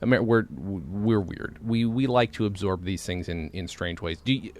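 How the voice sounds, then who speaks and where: A man's voice: sits low, normal speed. A man from upstate New York with an American accent, chatting enthusiastically and a bit ramblingly as a podcast host. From upstate New York, United States. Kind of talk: casual interview chat.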